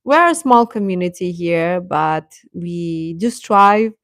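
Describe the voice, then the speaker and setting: A woman's voice: fairly low pitch, animated, slow, fairly bright tone, no echo, studio quality. A woman hosting a livestream, chatting casually and reacting to viewers, often repeating her phrases. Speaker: livestream host.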